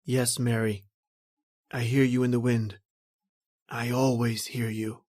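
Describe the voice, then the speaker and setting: A man's voice: mid-range voice, little pitch movement, slow pace, darkish tone, lightly acted. A man playing a tormented, lost husband recounting his doom in a brooding, dramatic old-time radio horror style. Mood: haunted, despairing.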